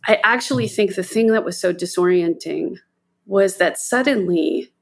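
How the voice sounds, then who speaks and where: A woman from the United States speaking reflectively in an interview, measured and deliberate. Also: mid-range voice.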